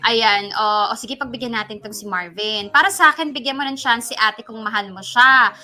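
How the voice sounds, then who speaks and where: A female radio co-host with a Filipino accent and a high, forceful, bright voice. She banters casually and playfully, the way a DJ does when reading things out on air. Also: moderate pace.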